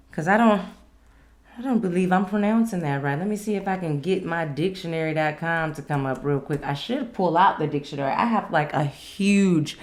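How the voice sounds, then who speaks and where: A woman's voice: lowish voice, a bit bright. An American woman hosting a solo podcast, speaking in a blunt, emphatic, slangy way. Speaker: podcast host. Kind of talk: casual solo podcast monologue.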